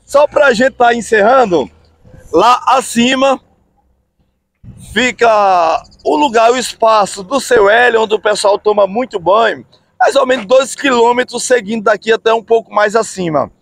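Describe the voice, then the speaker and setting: Upbeat high-voiced man outdoors. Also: vocal fry, a bit bright, dry recording. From Brazil. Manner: upbeat, drawn-out phrases, frequent "hein, pessoal?" tags. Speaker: channel host. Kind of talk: casual vlog narration. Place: outdoors on a road bridge over a river. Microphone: phone camera at arm's length.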